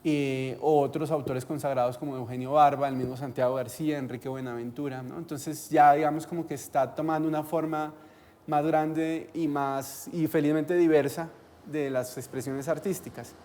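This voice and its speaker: Higher-pitched man presenting informally. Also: little pitch movement, normal speed, dark tone. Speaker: guest speaker, theatre researcher and publisher.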